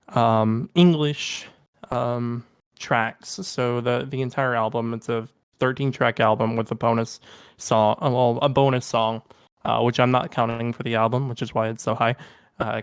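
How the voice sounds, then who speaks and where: A man's voice: mid-range voice, vocal fry, dry recording, studio quality. A young man co-hosting a podcast, chatting casually and enthusiastically about music, with lots of ums and restarts. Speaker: podcast co-host. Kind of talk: casual music review chat.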